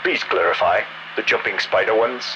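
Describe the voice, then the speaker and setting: Man playing a terse, low-voiced warship captain. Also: moderate pace, muffled recording, background noise, lightly acted. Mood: terse, focused. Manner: clipped, military, matter-of-fact. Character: terse male warship captain of a damaged ship, steady under pressure. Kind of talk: sci-fi audio drama radio dialogue. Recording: loud music behind.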